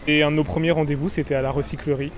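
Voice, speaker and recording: A man's voice: fairly high pitch, little pitch movement, racing, music in the background. Man in his thirties, casual. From France. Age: 30s. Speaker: interviewee.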